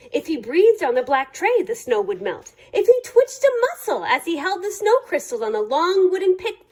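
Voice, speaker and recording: High-pitched, very animated woman reading a storybook. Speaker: reader of a children's picture book, library staff. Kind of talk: expressive read-aloud storytelling for children.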